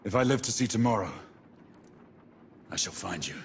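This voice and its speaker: A man's voice: fairly low pitch, lively intonation, normal speed, creaky, slight background noise. A man playing a grim, stubborn, honour-bound former knight in a video game cutscene, speaking tersely and solemnly. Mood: grave resolve.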